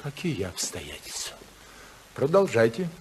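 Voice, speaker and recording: An actor playing a commanding, domineering magnate, imperious and demanding, in 1950s radio drama style. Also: male voice, mid-range voice, huge pitch swings, measured pace, a little mellow, slightly rough, heavily acted.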